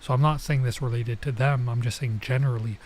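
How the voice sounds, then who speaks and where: Young male streamer, relaxed and talkative, medium pitch; commentary over a visual novel. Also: fast, slight background noise. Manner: chatty, laid-back, lots of "like" and "bro". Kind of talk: casual let's-play commentary.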